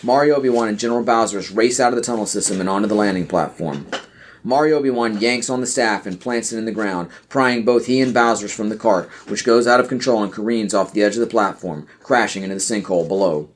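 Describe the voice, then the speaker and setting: A man with a lowish voice narrates a scripted audio play. He reads out screenplay action and scene headings as a podcast narrator. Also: fast talker, a little hiss or hum.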